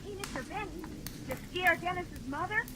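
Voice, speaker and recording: A woman's voice: very high-pitched, expressive, moderate pace. A woman playing an eager, chatty young neighbourhood kid with an American accent in 1940s radio comedy. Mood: curious. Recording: noisy old 1940s radio recording.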